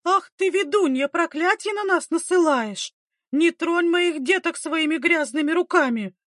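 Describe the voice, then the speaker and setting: Woman acting shrill, hysterical village mother. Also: measured pace, no room sound, studio quality. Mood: accusing fury. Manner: shrill, shouting, wailing. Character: hysterical stout middle-aged village mother, superstitious and accusing.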